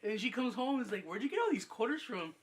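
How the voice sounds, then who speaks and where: A young man hosting a casual podcast chat, with a high-pitched voice, mumbling a little and often laughing as he tells stories. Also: quick pace, low-quality recording. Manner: mumbling, laughing, animated storyteller. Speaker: podcast host.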